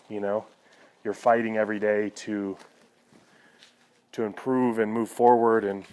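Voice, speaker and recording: Low-pitched man, flat casual delivery. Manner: conversational, reflective, frequent "you know". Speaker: vlogger. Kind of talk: casual personal talk to viewers.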